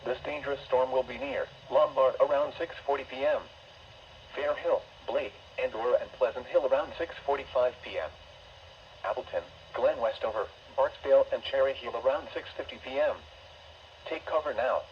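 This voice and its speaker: Automated male announcer, middle pitch, stilted synthetic delivery of emergency bulletins, dull weather radio sound with light static. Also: normal speed. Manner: stilted, synthetic, formal phrasing. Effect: synthesized voice over weather radio.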